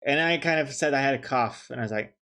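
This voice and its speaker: A man's voice: medium pitch, quick pace, bright, forceful tone. A young man chatting casually on a stream, joking and thanking viewers as he goes. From New Zealand. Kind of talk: casual chat while drawing on stream.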